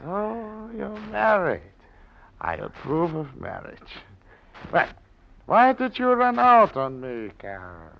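An actor playing an imperious, teasing older maestro in an old radio drama, pompous and lecturing, often repeating himself. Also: male voice, sits high, huge pitch swings, takes it slowly, creaky, full character voice. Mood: lecturing, wry. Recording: dull, hissy old radio recording.

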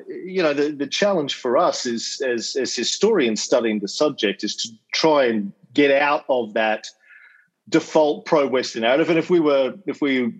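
A man with an Australian accent giving a casual lecture over a video call as a podcast host, chatty and full of ums and uhs, with wry asides. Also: medium pitch. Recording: slightly dull video-call audio.

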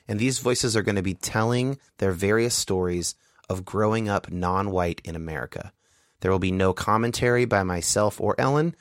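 A man's voice: lowish voice, no room sound, studio quality. A man hosting a podcast, talking earnestly and carefully as he introduces the episode and interviews a guest. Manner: earnest, measured, explanatory. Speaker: podcast host.

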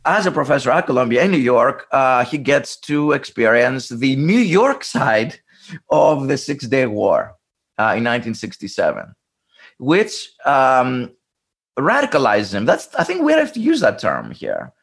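A man explaining history in an interview, thoughtful and halting, with many restarts and fillers. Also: medium pitch, big pitch swings, gravelly, husky. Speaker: interviewed scholar.

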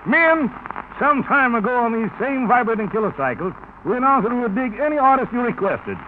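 A man's voice: very high-pitched, very animated, brisk. A man hosting a 1940s radio show, announcing in showy, hip jive-talk patter. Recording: noisy, tinny 1940s radio broadcast.

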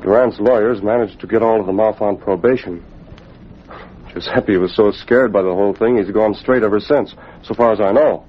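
An actor playing an earnest, assured young newspaper publisher in old-time radio drama. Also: male voice, fairly low pitch, moderate pace, husky. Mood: serious. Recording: dull old radio recording, faint noise.

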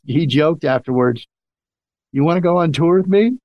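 A man's voice: fairly high pitch, normal speed, no room sound, lo-fi sound. A man hosting a casual interview, enthusiastic and rambling, restarting his sentences as he praises his guest. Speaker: host.

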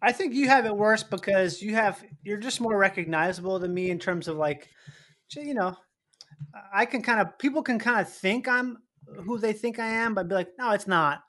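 A man, an actor, chatting in a relaxed way and musing through his questions. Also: high voice, normal speed. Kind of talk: casual chat.

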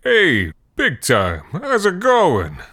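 A man voicing a hearty, cheeky old male ex-Marine who talks loud and jovial. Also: fairly high pitch, sing-song, normal speed, voice pushed up.

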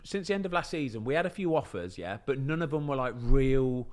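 A man's voice: medium pitch, expressive, brisk. A man, telling a story in casual podcast chat, often checking in with "do you know what I mean". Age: 30s. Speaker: podcast host and footballer.

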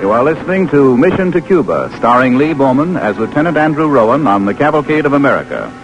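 Animated male vintage radio announcer. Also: medium pitch, fast talker. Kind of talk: 1940s sponsor announcement. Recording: dull old radio recording, music behind.

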